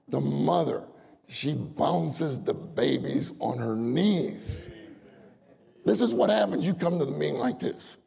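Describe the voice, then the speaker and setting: A man's voice: on the high side, vocal fry, dark tone. An older man preaching a folksy sermon, telling stories, acting out voices and laughing often. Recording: long hall reverb.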